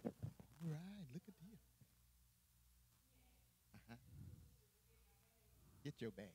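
A man's voice: on the high side, big pitch swings, takes it slowly. A man playing a taunting, gleeful devil who eggs sinners on in a church stage play. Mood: mocking. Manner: taunting, echoing others' words. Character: mocking, gleeful tempter devil egging sinners on. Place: large church hall. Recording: noisy hall, often off-mic.

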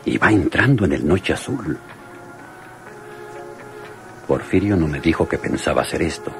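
Picture a cautious Mexican police lieutenant in a radio serial. The voice is extremely deep and grave, deliberate and authoritative. Faint music sits behind it. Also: male voice, animated, vocal fry, exaggerated voice. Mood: pensive, concerned. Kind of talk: radio drama acting.

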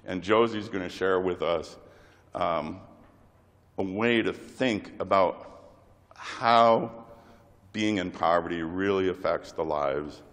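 A middle-aged man with a slight cold opens a parish workshop in a hesitant, halting way, with many fillers. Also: low-pitched, fairly bright tone. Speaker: volunteer group president. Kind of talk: workshop opening and group prayer. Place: large parish hall. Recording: long hall reverb.

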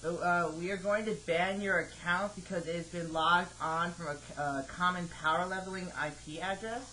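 A man in his twenties talking haltingly and questioningly, like a customer service caller on a phone call. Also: high voice, fairly even intonation, sharp tone. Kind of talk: hesitant phone conversation. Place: home office, indoors. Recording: noisy home computer microphone recording.

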